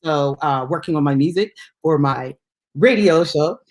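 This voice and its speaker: A woman's voice: deep voice, normal speed, a little mellow. A middle-aged woman co-hosting a casual music chat, talking in a playful, informal way that wanders into asides. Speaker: radio show host.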